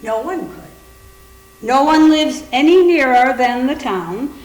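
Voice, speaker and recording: A woman's voice: high voice, animated, takes it slowly. A woman performing a cold, rigid housekeeper who recites stiff, repetitive warnings in a staged readers theater piece. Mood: ominous warning. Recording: roomy, echoing hall with hum.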